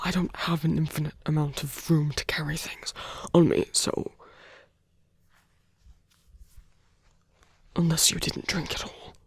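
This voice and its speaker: A performer voicing a wounded, protective villain in an intimate ASMR roleplay drama, murmuring haltingly in a breathy, husky half-whisper. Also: medium pitch. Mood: exasperated. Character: wounded villain, gruffly protective, teasing, tender toward a hero.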